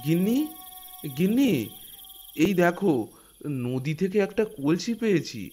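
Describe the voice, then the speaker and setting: A man voicing a poor, honest, god-fearing village husband in a Bengali animated folk tale, with a West Bengal accent and a hesitant, stammering, earnest delivery. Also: high voice, measured pace, breathy edge, soft background music, exaggerated voice. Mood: excited, uneasy. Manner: hesitant, stammering, gently reassuring. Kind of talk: animated Bengali folk ghost story dialogue.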